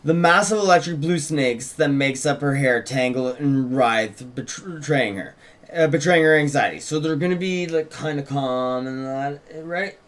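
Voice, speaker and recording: A man's voice: fairly high pitch, moderate pace. A man co-hosting a script read, narrating stage directions and chatting casually about the writing. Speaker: co-writer and podcast host.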